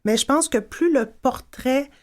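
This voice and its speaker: A woman with a Québécois accent, a children's literature specialist, explaining her views in a measured, fluent way on a podcast panel. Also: high-pitched.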